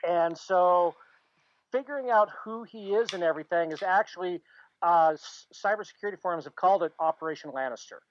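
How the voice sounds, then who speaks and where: A mid-pitched podcast co-host who meanders through points with constant filler words. The audio is slightly muffled and lo-fi. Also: a little fast, no room sound. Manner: rambling, heavy on "you know". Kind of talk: casual chat.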